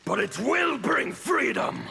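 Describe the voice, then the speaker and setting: A cavernous, reverberant voice of a wrathful revolutionary villain. It is high and extremely gravelly, declaimed with fanatical defiance in video game style. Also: male voice, big pitch swings, slow, pushed and bright, full character voice. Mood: furious. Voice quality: gravelly, strained. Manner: theatrical, declamatory. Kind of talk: fighting game character dialogue. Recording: long reverb, faint background noise.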